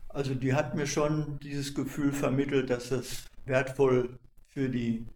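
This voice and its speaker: A man's voice: mid-range voice, level tone, unhurried, darkish tone, slight background noise. Hesitant older man in echoey room. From not from Cologne. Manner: hesitant, many fillers and restarts. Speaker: volunteer archivist. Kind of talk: conversational interview answers.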